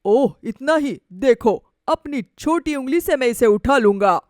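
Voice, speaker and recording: A bossy village boss man, put on by a woman in a cartoon moral tale. He is greedy and boastful, with a high-pitched voice and a dry, close sound. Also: expressive, unhurried, a little mellow, no room sound. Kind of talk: animated moral story dialogue.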